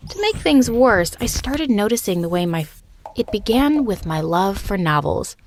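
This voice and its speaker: A young woman giving a scripted first-person narration of an animated story, in an expressive, confiding storytelling manner. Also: medium pitch, moderate pace, a little hiss or hum.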